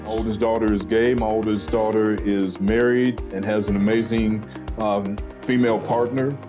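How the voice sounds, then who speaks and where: A man's voice: on the low side, level tone, moderate pace. A middle-aged man, an executive giving an earnest interview testimonial in corporate phrasing. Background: guitar music.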